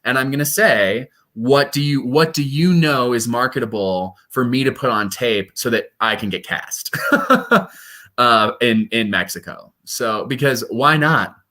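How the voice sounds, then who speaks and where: A young man from Colorado, a stage performer, chatting casually in an interview with lively, self-deprecating asides. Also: medium pitch, unhurried. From Colorado, USA. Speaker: guest performer.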